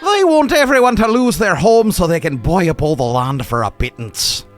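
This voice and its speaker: An Irish townsman in a western radio play. The voice sits high and lurches up and down with indignation. Faint music runs behind it. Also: animated, moderate pace. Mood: indignant. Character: friendly old-west Irish townsman, indignant about crooked bankers.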